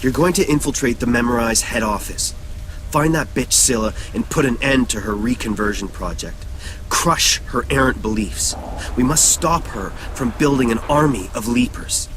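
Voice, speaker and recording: A man's voice: medium pitch, moderate pace, background noise, lightly acted. An actor playing a driven male rebel leader in a video game, giving orders in dramatic, cryptic, poetic phrases. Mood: commanding, intense. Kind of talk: video game voice acting, mission briefing.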